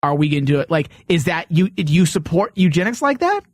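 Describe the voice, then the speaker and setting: A man's voice: on the high side, big pitch swings, a little fast, no echo, clean studio sound. A man co-hosting a talk show, debating casually and jokingly in an informal, rambling way.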